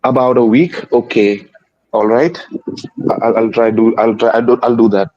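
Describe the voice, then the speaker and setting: A man's voice: medium pitch, steady tone, moderate pace, a little mellow, dry recording. A man calling into a live stream over video, talking hesitantly with restarts and nervous laughs. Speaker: caller. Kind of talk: casual video call chat. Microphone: video call. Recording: dull lo-fi video call audio.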